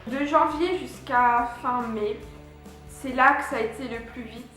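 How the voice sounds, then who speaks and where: A woman's voice: sits high, a little slow, fairly bright tone. A young woman from France, chatting casually to her viewers about a year abroad, with lots of fillers and restarts. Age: young adult. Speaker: vlogger. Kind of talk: casual vlog recap. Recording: very echoey room with faint music.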